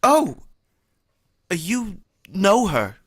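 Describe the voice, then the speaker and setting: A woman's voice: medium pitch, sing-song, drawn-out words, husky, full character voice. Nervous stammering young clerk for a radio comedy, voiced by a woman, bouncy delivery. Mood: eager. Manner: stammering, hesitant. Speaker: radio drama actor. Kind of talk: vintage radio comedy acting.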